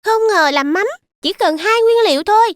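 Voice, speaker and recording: A woman's voice: extremely high pitch, expressive, moderate pace, no echo, studio quality. A woman playing a sweet, polite little child in a cartoon, earnest. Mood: appreciative. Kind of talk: scripted children's cartoon acting.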